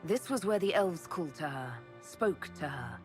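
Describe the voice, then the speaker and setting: A woman playing an earnest elven heroine in a video game, calm, plain-spoken and questioning. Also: deep voice, normal speed, soft background music. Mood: thoughtful. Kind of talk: video game cutscene acting.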